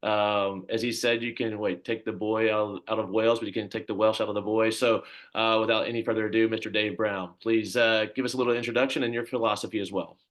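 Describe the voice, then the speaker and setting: Male host, lowish voice, casual and filler-heavy, runs a coaches' video call. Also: little pitch movement, fast, few pauses. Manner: chatty, lots of fillers, warmly thanks others. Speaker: club coach hosting the coach introductions.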